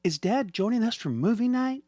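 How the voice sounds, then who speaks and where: A man's voice: very high-pitched, expressive, normal speed, a little mellow, no echo, voice pushed up. A man voicing a curious, worried daughter in a comic story, earnest and full of questions. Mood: concerned. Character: curious, worried daughter at home with her mother.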